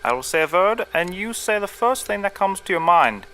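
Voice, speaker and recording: A man's voice: high voice, lively intonation, moderate pace, bright, forceful tone. A man acting as a fussy, clinical psychologist in a scripted comedy, speaking formally. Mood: instructing.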